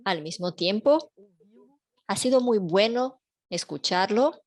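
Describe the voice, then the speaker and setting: A woman interpreting a panel talk in a measured, even way over a video call. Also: medium pitch. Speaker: simultaneous interpreter.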